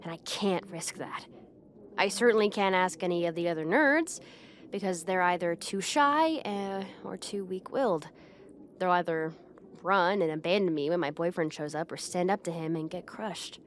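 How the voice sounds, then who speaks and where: A young woman acting a proud, bossy popular high school girl who gets flustered, in a roleplay audio addressed to the listener. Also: expressive, moderate pace, slight background noise, natural voice. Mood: scheming, persuasive. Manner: haughty, bossy, flustered hesitations.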